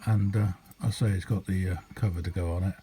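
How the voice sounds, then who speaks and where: Mellow, low-voiced British man, rambling casually. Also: fairly even intonation, fairly quick. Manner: rambling, hesitant, easygoing. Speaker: hobbyist radio demonstrator. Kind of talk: casual, unscripted video demonstration. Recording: clearly noisy amateur home recording.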